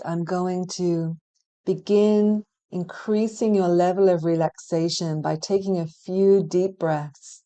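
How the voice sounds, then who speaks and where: A woman leading a guided relaxation meditation in a soothing, gentle and measured manner, leaving long pauses between phrases. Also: on the low side. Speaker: hypnotherapy practitioner guiding meditation. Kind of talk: guided relaxation meditation, hypnotic scripted narration.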